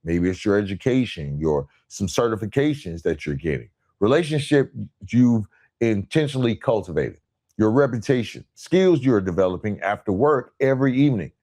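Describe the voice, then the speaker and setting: A man with a fairly low voice speaks as a motivational podcast host. He delivers prepared lines deliberately and with emphasis, addressing the listener directly. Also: big pitch swings, dark tone. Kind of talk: scripted motivational monologue.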